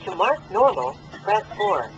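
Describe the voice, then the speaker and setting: A man's recorded voicemail system voice reading out menu options in clipped, measured prompts. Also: high voice, very animated, over music. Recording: voicemail prompt over a noisy phone line.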